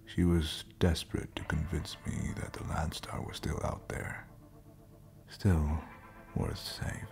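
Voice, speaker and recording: An actor playing an ancient, brooding, homesick spacefaring captain in an audio drama, speaking solemnly and deliberately in a gravelly, breathy near-whisper. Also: male voice, very deep voice, flat delivery, a little mellow, soft background music, full character voice. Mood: somber. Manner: slow, solemn, deliberate storytelling. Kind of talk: scripted audio roleplay drama.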